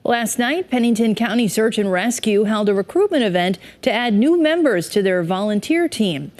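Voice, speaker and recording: A woman's voice: on the high side, lively intonation, normal speed, darkish tone. An American woman reading the news as a television anchor.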